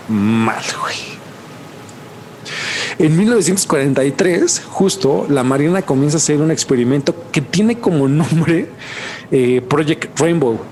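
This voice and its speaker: A man's voice: on the high side, slight background noise, poor audio. Slangy Mexican man hosting a podcast. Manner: slangy, animated, laughs often, repeats words for emphasis. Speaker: podcast host. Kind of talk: casual chat.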